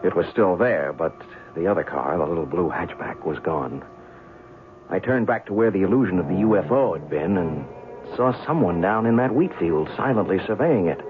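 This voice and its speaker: A man's voice: deep voice, lively intonation, moderate pace, over music. A man acting as a wry, reflective witness who narrates a strange tale in an old-time radio drama. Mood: reflective, suspenseful. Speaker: narrator and lead actor. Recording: muffled, narrow-band noisy old radio recording.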